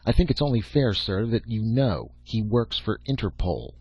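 Earnest young student, husky man acting. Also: on the low side, big pitch swings, moderate pace, a little mellow, no echo, full character voice. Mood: cold, resentful. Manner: hesitant, polite, careful. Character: earnest young male student, deferential but prickly. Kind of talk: audiobook character acting. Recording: dull lo-fi audio.

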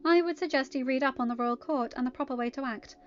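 A woman voicing a condescending, officious young woman of a royal court in a story reading, brisk and patronizing. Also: high-pitched, muffled recording, lightly acted.

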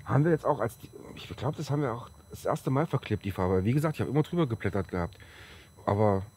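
A man in his forties with a German accent from the Halle region, a bit hoarse after a cold, chatting casually and rambling as he presents a car outdoors on a sunny lot. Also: mid-range voice, animated, fast talker, darkish tone, a little hiss or hum. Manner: chatty, rambling, with fillers and restarts. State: slightly hoarse from a recent cold. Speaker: tuning shop presenter. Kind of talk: casual on-camera car walkaround.

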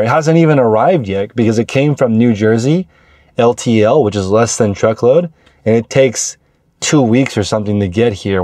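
A man's voice: medium pitch, a little mellow, dry recording, clean studio sound. An American man in his forties talking casually as a vlog host, explaining things in a conversational, anecdotal way into a lapel mic in a car. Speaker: host, reseller vlogger. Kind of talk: casual explanatory monologue to camera. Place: inside a parked car. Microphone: lapel microphone clipped to shirt.

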